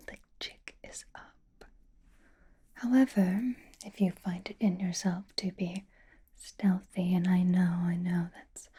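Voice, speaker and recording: A woman playing a flirtatious, possessive female vampire in an ASMR roleplay, softly whispering with teasing endearments. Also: mid-range voice. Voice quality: soft breathy whisper. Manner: slow, teasing, drawling endearments.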